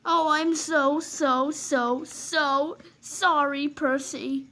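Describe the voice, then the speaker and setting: A woman's voice: high voice, lively intonation, normal speed, slight background noise, pitched above the performer's own voice. Woman putting on a vain, smug toy engine. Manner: smug, mock-apologetic.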